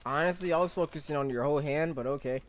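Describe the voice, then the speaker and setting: A man's voice: fairly high pitch, quick pace. A young American man giving casual reaction commentary into a close desk microphone in a small bedroom. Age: young adult. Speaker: reaction video host. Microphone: close desk microphone with pop filter.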